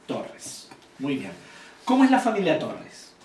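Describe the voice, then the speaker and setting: A Chilean man giving an informal church teaching talk, blunt and animated, quizzing the audience as he goes. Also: a little high, slow, a little hiss or hum. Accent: Chilean Spanish. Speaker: church speaker teaching volunteers. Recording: echoey room, voice from far off.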